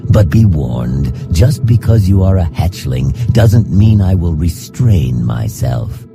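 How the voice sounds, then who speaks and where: An extremely low, gravelly voice for a proud lizardfolk assassin trainer in a fantasy game. He speaks slowly and formally, with stern, hardened authority. Loud music plays behind him. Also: big pitch swings, exaggerated voice. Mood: stern, menacing. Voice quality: gravelly, growling. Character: hard, proud male Argonian reptilian assassin combat trainer. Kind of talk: fantasy game character dialogue.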